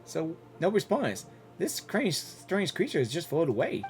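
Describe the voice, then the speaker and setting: A game reaction streamer giving casual commentary, halting and repeating words while thinking aloud. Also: mid-range voice, huge pitch swings, soft background music.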